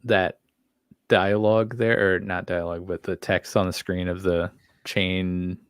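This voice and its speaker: A man's voice: low voice, flat delivery, measured pace, clear, resonant tone, dry recording, clean studio sound. A man co-hosting a podcast, chatting casually and enthusiastically about horror series in an informal way. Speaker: podcast co-host.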